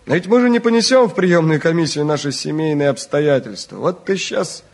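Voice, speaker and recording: A man's voice: fairly high pitch, lively intonation, fairly quick, gravelly, a little mellow. A man acting a smug, privileged young man in a Soviet radio drama, ironic and condescending. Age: young adult.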